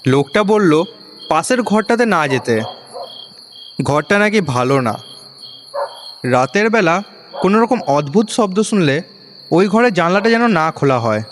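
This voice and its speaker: Audio ghost story role: grave, ominous young-sounding male friend, played by a man, high and animated, reverberant with background noise. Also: a little slow, pauses often. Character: grave male friend relaying an eerie warning. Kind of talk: dramatised ghost story dialogue. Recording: long reverb, noisy background.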